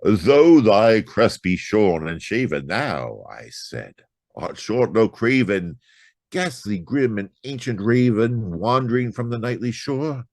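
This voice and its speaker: Man, very deep voice, unhurried, theatrical poetry reading, dry close mic. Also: very animated, no echo. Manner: theatrical, swinging from loud outbursts to hushed whispers. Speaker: poetry reader.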